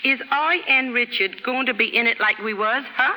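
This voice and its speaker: High-pitched brash street girl, 1930s radio. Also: moderate pace, sharp tone. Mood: eager. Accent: American. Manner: slangy, ungrammatical, cheeky, putting on airs at times. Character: brash, warm-hearted young street-bred working-class woman. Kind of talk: 1930s radio drama acting. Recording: hissy 1930s radio recording, reverberant stage.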